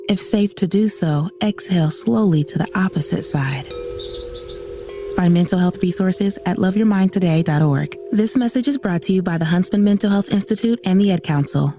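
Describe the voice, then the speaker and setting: A woman narrating a scripted wellness announcement in a calm, measured, soothing guided-breathing style. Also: on the low side. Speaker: public service announcement narrator. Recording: soft music behind the voice.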